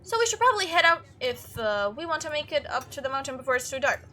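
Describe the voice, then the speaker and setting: A woman's voice: sits high, sing-song, fast, sharp tone, slight background noise, full character voice. A woman voicing an upbeat, chatty young monster-hunting video maker in a visual novel read-through. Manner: bubbly, casual, enthusiastic.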